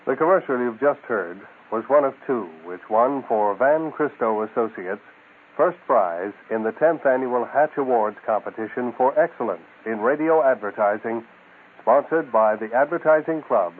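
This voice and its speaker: A man's voice: mid-range voice, lively intonation, normal speed. An American man reading a scripted announcement as a radio announcer. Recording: tinny, noisy radio recording.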